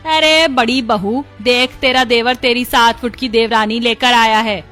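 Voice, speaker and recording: Woman acting a stern cartoon mother-in-law, high bright voice, scolding, taunting, music underneath. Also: fairly even intonation, unhurried. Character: stern, disapproving Indian mother-in-law, scolding her daughter-in-law. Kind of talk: cartoon family story dubbing.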